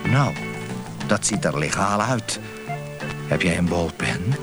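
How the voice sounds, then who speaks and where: An actor playing an impatient, eager motel customer in a radio play, muttering and grumbling. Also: male voice, low-pitched, expressive, slow pace, full character voice. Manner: muttering, hesitant, repeating himself. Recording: loud music behind.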